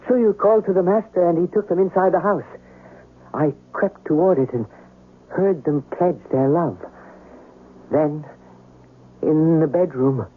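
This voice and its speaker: A man's voice: fairly high pitch, measured pace, pauses often. A man playing a loyal male servant in a radio drama, hesitant, deferential and fearful. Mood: hesitant dread. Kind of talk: old-time radio drama acting. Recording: tinny, narrow old radio recording.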